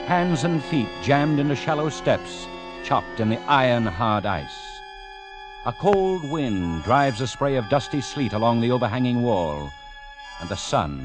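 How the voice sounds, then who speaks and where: A man narrating a 1940s radio drama in a suspenseful, theatrical style with deliberate emphasis. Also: on the low side. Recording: 1940s radio broadcast recording.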